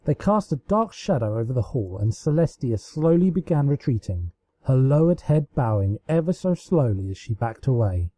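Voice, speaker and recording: A man's voice: medium pitch, sing-song, normal speed, dark tone, dry recording. A man reading fan fiction aloud as a dramatic storybook narration. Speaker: amateur fan fiction reader.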